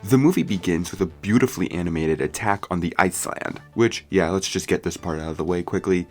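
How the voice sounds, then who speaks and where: A man's voice: sits low, brisk, soft background music. A man giving a scripted movie review commentary in a casual, opinionated, conversational way. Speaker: video reviewer.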